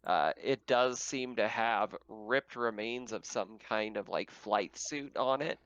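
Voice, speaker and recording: A man's voice: fairly low pitch, takes it slowly, a bit bright, dry recording. A man speaking casually as game master, narrating and refereeing a tabletop roleplaying game, swearing freely, on a slightly muffled microphone.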